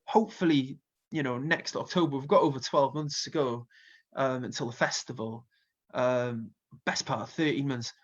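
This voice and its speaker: A man's voice: medium pitch, moderate pace, lo-fi sound. A man with a Welsh accent and a slight cold, chatting casually and rambling with plenty of fillers as a festival booker being interviewed. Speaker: festival co-founder and music booker.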